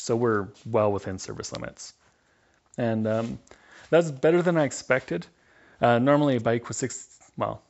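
A man's voice: medium pitch, a little mellow, muffled recording. A man giving a casual, matter-of-fact instructional voice-over as a hands-on mechanic.

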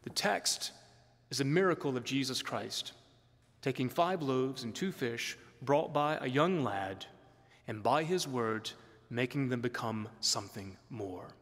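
A middle-aged man preaching a sermon as a priest, explaining in a measured way with emphatic stresses, from the pulpit of a large stone church. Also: mid-range voice, expressive, fairly bright tone. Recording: long church reverb.

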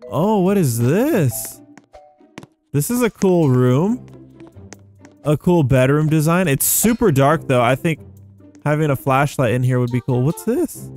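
Higher-pitched young man doing casual gameplay commentary. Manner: rambling, thinking aloud, slangy. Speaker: gaming video host. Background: background music.

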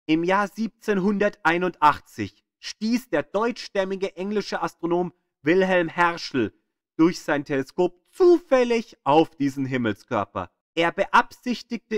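A man reading scripted educational narration in a measured, storytelling way with dramatic emphasis. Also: sits high, animated, no echo, studio quality. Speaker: narrator of an audio tour of the solar system. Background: music.

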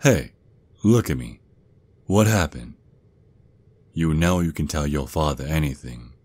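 An extremely deep, close and dry voice: a protective Southern werewolf father acted by a man in an ASMR roleplay. He has a folksy drawl and the gruff bearing of an army sergeant who dotes on his child. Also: lively intonation, a little mellow, dry recording, exaggerated voice. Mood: tender, concerned. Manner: doting, folksy, slow drawl with pet names.

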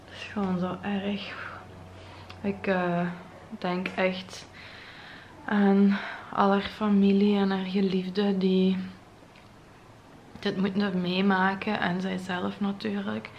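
A young woman with a Flemish accent, talking to camera in a vlog after crying, her voice soft, breathy and trailing into whispers. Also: medium pitch, level tone, slow pace, pauses often, dull audio, a little hiss or hum. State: tearful, voice heavy from crying. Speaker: vlogger. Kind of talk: emotional vlog monologue to camera. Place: bright modern home interior. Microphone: camera at close range.

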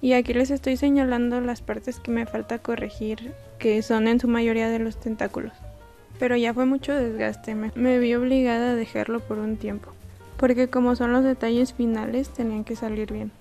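Relaxed, gravelly-voiced young woman. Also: on the high side, normal speed, soft background music. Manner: relaxed, chatty, self-deprecating. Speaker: artist narrating a painting process. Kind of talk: casual voice-over narration.

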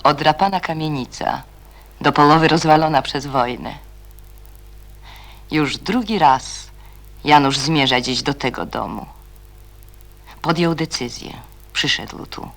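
A performer narrating a radio play as a melancholic storyteller, with a breathy, often half-whispered delivery. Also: medium pitch, a bit bright, exaggerated voice. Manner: slow, brooding, melancholic. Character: brooding, melancholic storyteller voicing characters' thoughts.

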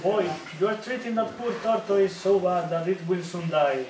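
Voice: medium pitch, moderate pace, noisy background, lightly acted. A student performer acting as a kind young fisherman in an amateur puppet show. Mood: kind, concerned. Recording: echoey room, distant, music behind.

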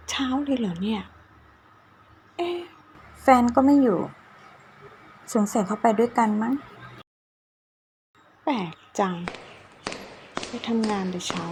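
Woman acting a chatty, jittery young woman, high-voiced. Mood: searching, puzzled. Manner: talks to herself in casual exclamations. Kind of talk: ghost-story cartoon acting. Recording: music behind the voice.

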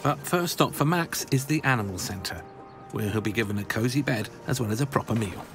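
Male documentary narrator, gravelly, wry delivery over light music. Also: medium pitch, lively intonation, brisk.

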